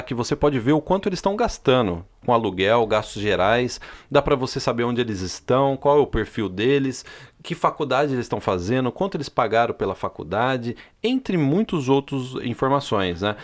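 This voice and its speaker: A Brazilian man hosts a casual podcast from home, in a voice of middling pitch. He is chatty and a little promotional, and often checks in with his co-host as he goes. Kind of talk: casual chat.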